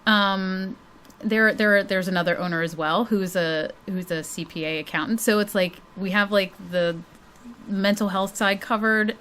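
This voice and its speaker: A woman in her late thirties, a guest in a casual interview, talking in animated, rambling runs full of "like.". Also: medium pitch, measured pace, a little hiss or hum. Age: late 30s. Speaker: guest, mental health professional.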